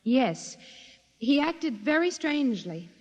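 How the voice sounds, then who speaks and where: Brief: an earnest young secretary on the stand, high voice, reverberant muffled old radio sound. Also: female voice, very animated, slow, heavily acted. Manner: earnest witness testimony. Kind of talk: 1950s radio drama acting. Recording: dull old broadcast with long reverb.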